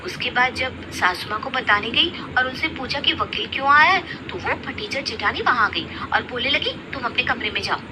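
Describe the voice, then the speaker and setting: Woman playing a showy, high-voiced spoiled young wife. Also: animated, normal speed, hardly any pauses, noisy background, close to an everyday voice. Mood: suspicious. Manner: showy, emphatic, accusing. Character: spoiled, boastful, hot-tempered rich younger sister-in-law. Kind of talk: Hindi moral story acting.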